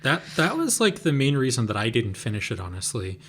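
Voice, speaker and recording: A man co-hosting a podcast, talking casually about games in a relaxed, hedging way. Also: medium pitch, fairly quick, a little hiss or hum. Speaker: podcast co-host. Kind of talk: casual chat.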